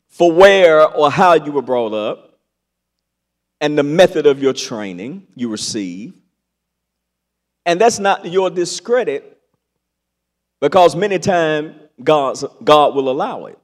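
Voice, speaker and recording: High-pitched, slow, animated preacher man. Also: in a large hall. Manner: preaching with dramatic pauses and rising emphasis. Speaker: pastor. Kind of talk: sermon. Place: large church sanctuary.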